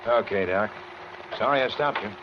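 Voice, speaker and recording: An American man playing a gruff, authoritative but casual motorcycle cop in a 1940s radio drama. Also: fairly low pitch, moderate pace, close to an everyday voice. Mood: easygoing. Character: gruff male motorcycle cop on night patrol. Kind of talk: 1940s radio suspense acting. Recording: noisy muffled 1940s radio recording.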